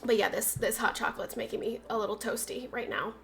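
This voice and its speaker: A woman's voice: on the high side, fairly even intonation, fast talker, gravelly, a bit bright, a little hiss or hum. A young woman streamer chatting casually, her voice nasal and stuffy from a cold, rambling through stories with lots of fillers. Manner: rambling, filler-heavy storytelling. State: has a cold, stuffy sinuses. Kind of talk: casual livestream chat.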